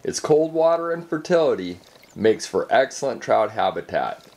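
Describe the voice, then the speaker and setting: A man giving calm, measured scripted voice-over narration for an outdoor video. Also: medium pitch.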